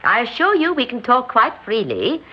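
An actress playing a commanding elderly hostess and conspirator in an old radio drama, speaking with deliberate, gracious authority. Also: female voice, on the high side, wildly swooping pitch. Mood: brisk, commanding. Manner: imperious, deliberate, theatrically gracious. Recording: muffled, noisy old radio recording.

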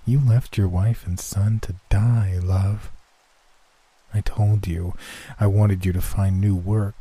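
A man voicing a bitter dead wife in a horror story, soft-spoken, deliberate and reproachful. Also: lowish voice, steady tone, husky. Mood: bitter, accusing. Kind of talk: horror story narration, character voice.

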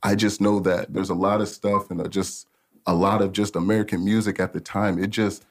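Laid-back deep-voiced man in his late 20s. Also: flat delivery, a little fast. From Africa. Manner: laid-back, rambling with slang and fillers. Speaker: studio owner, podcast guest. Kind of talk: casual podcast storytelling.

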